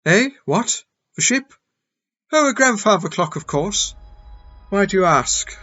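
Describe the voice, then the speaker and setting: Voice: mid-range voice, expressive, unhurried, bright, forceful tone. An actor playing an absent-minded elderly grandfather who travels through time in an audio drama. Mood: puzzled. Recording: muffled band-limited audio with music underneath.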